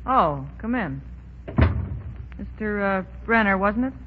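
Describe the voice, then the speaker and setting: An American woman playing a wary, sharp-tongued racketeer in a 1930s radio drama, curt and sceptical. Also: mid-range voice, expressive, takes it slowly. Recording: dull, faintly noisy 1930s radio recording.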